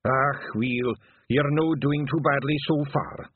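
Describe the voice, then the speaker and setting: Husky, high-pitched old Scottish pixie. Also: male voice, animated, normal speed, no echo. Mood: gruffly encouraging. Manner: scolding, dialect-heavy. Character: proud, testy, tiny ninety-six-year-old male pixie piper. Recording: narrow phone-like lo-fi recording.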